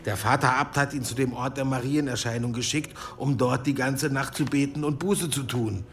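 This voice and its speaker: An actor playing a stern, impatient monk herbalist who speaks like an exasperated schoolmaster in a radio play. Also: male voice, medium pitch, steady tone, moderate pace, natural voice. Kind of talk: German radio play acting.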